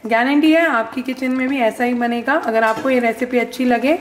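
A woman's voice: a little high, fairly even intonation, normal speed. A young woman co-hosting a home cooking show, asking questions and addressing viewers. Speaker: co-host, daughter of the cook. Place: home kitchen.

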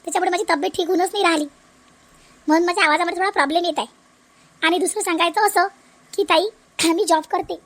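A woman's voice: very high voice, steady tone, quick pace, pauses often, a bit bright. A woman speaking as a cartoon channel creator, making a pleading, explanatory appeal to her viewers.

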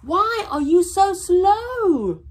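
A young woman voicing nosy, puzzled rainforest animals in a children's storybook, with loud, emphatic questioning. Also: extremely high pitch, big pitch swings, extremely slow, a little hiss or hum, voice pushed up, full character voice. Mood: demanding, puzzled. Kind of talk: children's storybook read-aloud.

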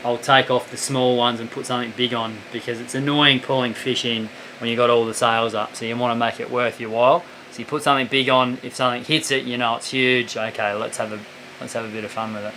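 A man's voice: mid-range voice, level tone, fast, pushed and bright, a little hiss or hum, low-quality recording. A young man giving a casual, joking tour of his sailing catamaran, explaining the gear plainly. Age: young adult. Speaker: sailing vlogger. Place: on a sailing catamaran, deck and cabin.

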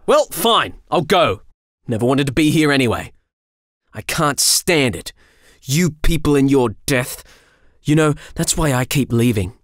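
A man's voice: mid-range voice, expressive, measured pace, no echo, clean studio sound, natural voice. A man playing a youthful, imperfect angel in an audio drama, glib, blunt and coldly sardonic. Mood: disgusted, indignant. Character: flippant, cold, youthful imperfect male angel.

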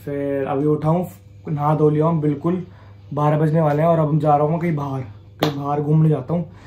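A man's voice: fairly high pitch, little pitch movement, fairly quick, lo-fi sound, a little hiss or hum. A young man casually narrating his day into a phone camera held at arm's length. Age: young adult. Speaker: vlogger. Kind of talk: casual daily vlog. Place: small room at home and outdoors.

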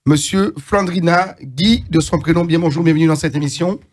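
A man's voice: fairly high pitch, normal speed. A middle-aged man hosting a studio TV interview, introducing his guest in a hesitant way with many fillers and restarts. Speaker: TV show host. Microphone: lapel mic.

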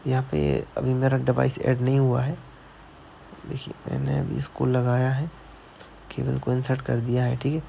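A man walks viewers through fixing a phone on his computer, explaining each step and pausing to check they follow. His voice is gravelly and mellow, held in a flat, even pitch. A faint hiss sits under the recording. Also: low-quality recording. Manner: explains step by step, keeps adding "theek hai". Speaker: mobile repair technician. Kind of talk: screen-recorded tutorial narration. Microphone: voice-over on a screen recording.

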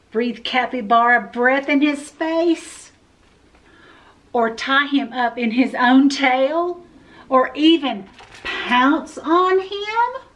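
A middle-aged woman reads a picture book to children as a library storyteller. Her voice is fairly high and she takes her time. The delivery is expressive. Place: indoor room with full bookshelves.

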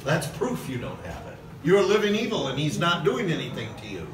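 A middle-aged man preaching a sermon from a podium in a large church hall, passionate, with "okay?" asides and slow emphatic lines. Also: fairly high pitch, wildly swooping pitch. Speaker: pastor. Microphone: far camera in the hall. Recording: echoey hall recorded from a distance with noise.

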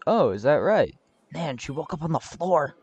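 A man's voice: fairly high pitch, very animated, moderate pace, darkish tone, breathy edge, no room sound, exaggerated voice. A man voicing a friendly, easygoing young guy in a cartoon dub, recorded on a slightly dull microphone. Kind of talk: animated web comic dub acting.

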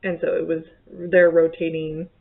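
A woman's voice: low voice, flat delivery, normal speed. Chatty woman vlogger, tinny phone-like sound. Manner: rambling, self-correcting, chatty. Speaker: book vlogger. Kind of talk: casual monthly reading wrap-up. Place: bedroom or home office, indoors. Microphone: webcam at desk.

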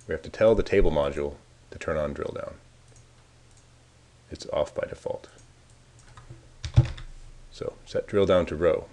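A man casually talking through a software demo, thinking aloud as he clicks around the screen. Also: deep voice. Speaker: software developer demoing a tool. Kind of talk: screencast walkthrough.

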